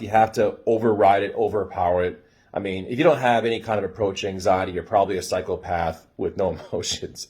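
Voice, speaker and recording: A young American man casually telling a story in an animated way, swearing freely and acting out dialogue. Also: fairly low pitch, slightly rough. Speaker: storyteller giving dating advice.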